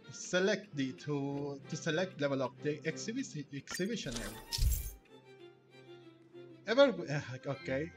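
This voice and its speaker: A man hosting a gaming video, commenting casually and reading game text aloud a bit haltingly. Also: medium pitch. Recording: game music clearly behind the voice. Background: video game music.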